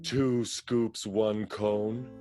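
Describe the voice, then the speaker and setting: A man's voice: fairly low pitch, level tone, extremely slow, mellow, soft background music. An actor playing a scheming, villainous man in an improvised radio play, bossy and exasperated.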